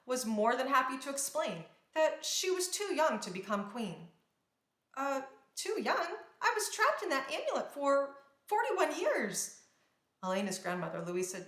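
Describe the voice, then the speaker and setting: Female storybook narrator, higher-pitched and very animated, careful read-aloud in a roomy space. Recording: roomy indoor echo.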